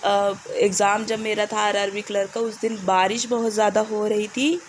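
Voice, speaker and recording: A young woman from India with a hoarse voice and a fever, giving casual, hesitant exam advice with many pauses. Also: medium pitch, flat delivery, a little hiss or hum. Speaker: exam candidate giving tips.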